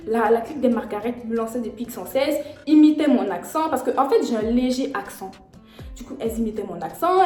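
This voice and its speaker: A young woman tells a story in a slangy, animated way, her voice a little high. Her voice rings in an echoey room. Faint music plays underneath. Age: young adult. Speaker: vlogger. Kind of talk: storytime vlog.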